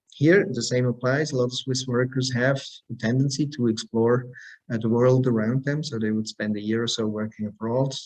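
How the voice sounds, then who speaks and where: A man speaking as an embassy representative in a webinar, presenting information in a measured, explanatory and promotional way. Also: medium pitch, a little mellow, poor audio.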